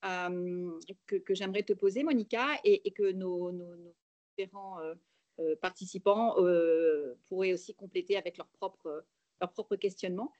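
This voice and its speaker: A woman moderating an interview, asking polite, somewhat halting questions. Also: fairly low pitch, steady tone, a little mellow, no echo, dull audio.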